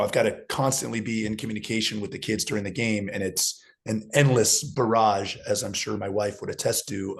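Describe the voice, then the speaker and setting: A hoarse man with a somewhat low voice talks through his coaching in an unhurried, explanatory way. He is a football coach chatting casually on a video call. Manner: thoughtful, explanatory. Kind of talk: casual video chat conversation.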